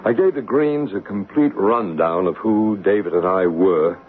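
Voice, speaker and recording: An actor playing a measured, reassuring paranormal investigator who also narrates, in a 1970s radio mystery drama. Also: male voice, fairly low pitch, soft background music, natural voice. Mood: calm. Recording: narrow-band old radio broadcast with hiss.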